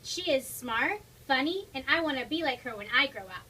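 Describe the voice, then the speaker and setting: A woman's voice: high voice, expressive, normal speed, sharp tone. A woman voicing a worried, loving young girl in a dramatic storybook reading. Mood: fond, wistful. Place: toy shop event, before an audience. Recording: distant mic, noisy room.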